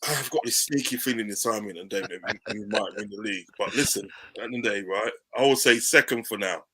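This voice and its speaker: A man talking football on a podcast in an animated, casual way, often checking in with "you know what I mean". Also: lowish voice, quick pace, a little hiss or hum. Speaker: podcast panelist, football fan.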